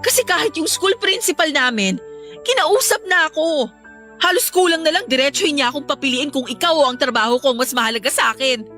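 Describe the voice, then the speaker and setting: Actress as a high-voiced, exasperated Filipino girlfriend. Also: lively intonation, fairly quick, pushed and bright, faint music behind. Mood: troubled, earnest. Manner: exasperated, defensive. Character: weary, exasperated young teacher girlfriend torn under pressure. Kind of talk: Filipino radio drama acting.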